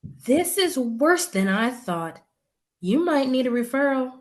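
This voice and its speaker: An actress playing a blunt but friendly doctor in an audio play, with dry, teasing humor. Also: female voice, sits high, sing-song, slow, full character voice. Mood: wry.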